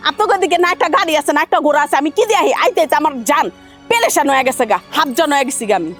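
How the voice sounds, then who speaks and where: An actress voices a proud city woman who lashes out at her country in-laws in fast, bitter words. Her voice sits very high and swings up and down as she scolds. Faint music runs beneath the scene. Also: expressive, a bit bright. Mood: scolding. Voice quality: sneering, scolding. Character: haughty city woman scorning rural in-laws. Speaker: actress in a family drama.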